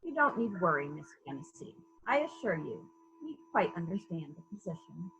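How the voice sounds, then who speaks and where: A woman playing a calm, persistent police investigator in a murder mystery reading, formal, measured and politely probing. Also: lowish voice, lo-fi sound, noisy background. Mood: polite, firm.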